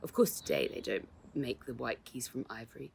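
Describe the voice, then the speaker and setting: A woman's voice: a bass voice, lively intonation, a little fast, gravelly, darkish tone, a little hiss or hum, full character voice. A woman voicing an elegant, gracious lady visitor in a storybook, chatty, genteel and confiding. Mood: warm, chatty.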